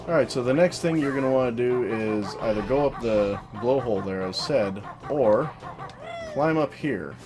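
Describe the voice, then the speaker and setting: A woman talking through solo gameplay commentary in a casual, monotone, self-deprecating way, swearing now and then. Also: extremely low pitch, moderate pace. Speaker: let's play commentator. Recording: game music loud behind voice. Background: video game music.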